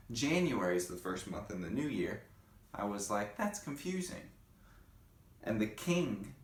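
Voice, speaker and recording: A man reading a picture book aloud to children, with gentle, chatty asides to young listeners. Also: on the low side, echoey room. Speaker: read-aloud host.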